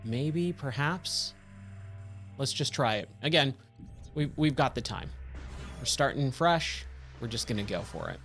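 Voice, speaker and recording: A man with a slightly hoarse, scratchy voice giving casual, rambling game commentary and thinking aloud as he plays. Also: medium pitch, expressive, a little slow, long pauses, fairly bright tone, faint music behind. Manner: rambling, self-questioning. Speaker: game streamer, host of a let's play.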